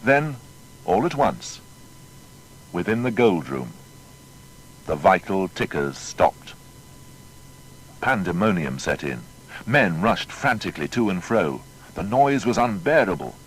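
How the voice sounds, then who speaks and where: Male narrator, low-pitched, formal, dramatic storytelling, scripted biography, faint rumbling noise. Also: very animated, slow, long pauses, rough recording.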